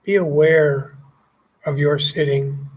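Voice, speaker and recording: A man leading a guided meditation as a teacher, speaking calmly and gently in short phrases with pauses. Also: a little high, poor audio. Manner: calm, gently instructive, pausing between short phrases.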